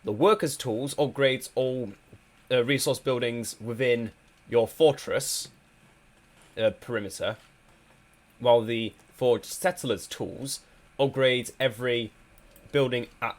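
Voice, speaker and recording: Male gameplay commentator, mid-pitched, a little stuffy from a cold, halting and casual. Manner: hesitant, many ums and restarts. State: slightly congested from a cold. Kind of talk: casual strategy game commentary.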